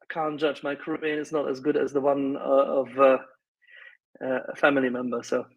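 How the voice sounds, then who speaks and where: Husky man arguing emphatically. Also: mid-range voice, level tone, moderate pace, muffled recording. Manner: insistent, repeats words for emphasis. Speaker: panel speaker on live audio space. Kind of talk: emphatic political argument.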